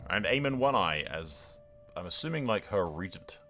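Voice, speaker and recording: A man hosting a gaming video with excitable let's-play commentary, swearing freely and swinging between dramatic exclamations and dry understatement. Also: low voice, normal speed, soft background music.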